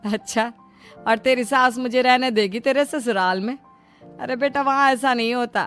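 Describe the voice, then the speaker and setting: A woman's voice: on the high side, normal speed, fairly bright tone, clear, resonant tone, soft background music, natural voice. A woman voicing a bossy middle-aged mother-in-law in a dramatic, emphatic cartoon style. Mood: fond, worried. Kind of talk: Hindi cartoon story acting.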